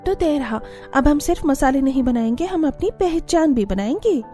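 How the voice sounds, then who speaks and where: A woman acts as a devoted young daughter-in-law in a cartoon tale. Her voice is high but soft and mellow, with an earnest, respectful way of speaking over faint music. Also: animated, moderate pace. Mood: determined. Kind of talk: cartoon story dialogue.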